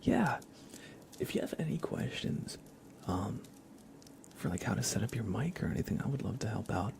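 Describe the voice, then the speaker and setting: A man speaks in a soft, gentle whisper with a low, slightly crackly register. He chats casually and in a friendly way as the host of an ASMR livestream, close on the mic. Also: very animated, moderate pace, vocal fry. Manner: casual, friendly, thanking viewers. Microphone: close microphone with compression and limiter.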